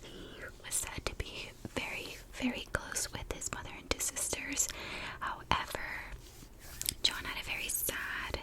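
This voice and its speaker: A woman speaking in a soft, breathy whisper, slowly and deliberately telling a story in ASMR style, sometimes softly repeating words. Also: low-quality recording. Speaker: ASMR YouTuber, host.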